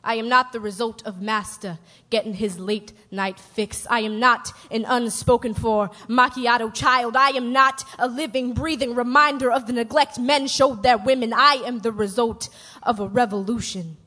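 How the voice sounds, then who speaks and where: A woman recites spoken-word poetry in a bright, forceful voice that rings through a big hall. Her delivery is emphatic and declarative, built on measured, repeated phrases. The pitch sits high. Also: steady tone. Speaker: slam poet. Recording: reverberant hall, stage performance.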